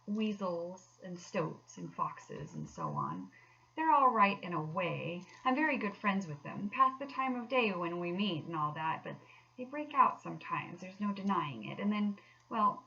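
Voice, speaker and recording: A woman's voice: mid-range voice, big pitch swings, a little slow, gravelly, sharp tone, muffled recording, exaggerated voice. Woman reading chummy, fussy storybook water rat. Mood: hesitant, guarded. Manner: chummy, emphatic, old-fashioned turns of phrase. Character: confident, fussy male water rat, river-loving. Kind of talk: children's storybook read aloud.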